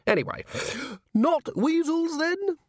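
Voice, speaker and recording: A man's voice: very high-pitched, big pitch swings, takes it slowly, dry recording, studio quality, voice pushed up, exaggerated voice. A man voicing a fussy, eager little old herald in an audiobook, polite and scholarly. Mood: shocked, fussy.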